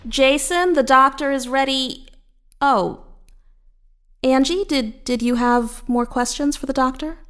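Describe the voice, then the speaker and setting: A woman's voice: high voice, takes it slowly. A woman playing a polite clinic nurse who calls in patients, in a contemporary audio drama. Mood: polite, inquiring.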